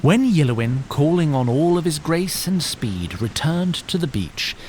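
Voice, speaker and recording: A man narrating a fantasy audio drama as a measured, wry storyteller who addresses the listener directly. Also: a little high, very animated, noisy background. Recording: music bed under narration.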